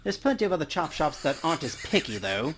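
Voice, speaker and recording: A man's voice: on the high side, big pitch swings, quick pace, fairly bright tone, slightly rough, exaggerated voice. A man voicing a world-weary, matter-of-fact male coroner from a cyberpunk game.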